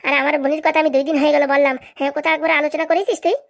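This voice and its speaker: Casting: woman to play domineering cartoon wife, high creaky voice, relentless scolding. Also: fairly even intonation. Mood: nagging, demanding. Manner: scolding, accusatory, rapid-fire haranguing. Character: bossy, nagging, domineering wife of a village politician. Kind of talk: comic cartoon voice acting.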